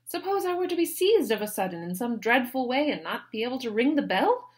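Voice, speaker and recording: A woman reading a novel aloud, voicing a petulant, self-pitying young married woman who complains loudly. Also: fairly high pitch, big pitch swings, a little fast, voice pushed up. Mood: complaining. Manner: whining, complaining, loud.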